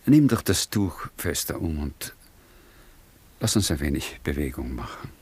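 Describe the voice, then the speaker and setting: A self-satisfied middle-aged professor in a radio drama. His low voice swings widely in pitch while he holds forth in measured, unhurried formal phrases. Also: sing-song, a little mellow, husky, exaggerated voice. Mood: solicitous. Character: self-satisfied, orderly male professor and husband. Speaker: actor. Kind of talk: German radio drama acting.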